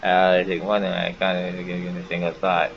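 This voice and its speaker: A man's voice: deep voice, completely flat delivery, a little fast, gravelly. A man giving a casual video game playthrough commentary, rambling and reading the on-screen text aloud in a half-mumble. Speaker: gaming YouTuber. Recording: dull, noisy home gaming recording.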